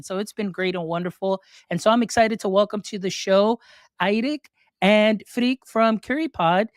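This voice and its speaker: A man's voice: high voice, clean tone, dry recording, clean studio sound. A man hosting a podcast interview, upbeat and effusive in his welcomes and thanks. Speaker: podcast host.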